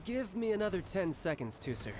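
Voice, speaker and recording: Lively, confident young warrior. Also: male voice, high-pitched, brisk, noisy background. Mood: indulgent, playful. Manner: teasing, grandly polite. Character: confident, playful young warrior, doting older brother. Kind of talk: theatrical video game voice acting.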